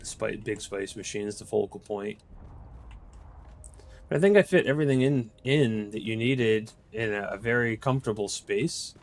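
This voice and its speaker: A man's voice: fairly low pitch, normal speed. A man with a Boston accent casually showing viewers around his game base, rambling with fillers and restarts. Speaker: gameplay video host. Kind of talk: casual game walkthrough commentary.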